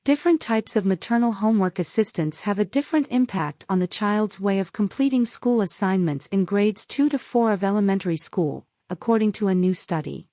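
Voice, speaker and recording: A woman reads a prepared text in a soft-toned, mellow voice of medium pitch. She sounds formal and measured, with no room sound around her. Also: animated, no echo, studio quality. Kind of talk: scripted read-aloud of an exam practice passage.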